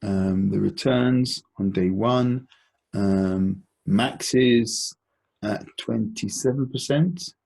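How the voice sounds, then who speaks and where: A man presenting an informal stock analysis as host of an investment call, explaining in a halting, deliberate, conversational way. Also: on the low side, creaky, a little mellow.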